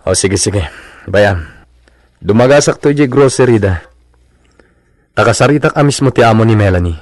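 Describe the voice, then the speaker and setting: An actor playing a placating husband, conciliatory and easygoing, with an Ilocano accent in a radio drama. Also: male voice, fairly low pitch, lively intonation, normal speed, darkish tone, no room sound, close to an everyday voice. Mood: reluctant, placating. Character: placating husband of a quarrelsome store owner.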